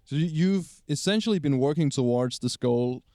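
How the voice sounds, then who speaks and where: Guy doing street interviews, smooth clear voice, hyped and encouraging. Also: fairly high pitch, lively intonation, normal speed, dark tone, dry recording, clean studio sound. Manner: enthusiastic, encouraging, laughs along.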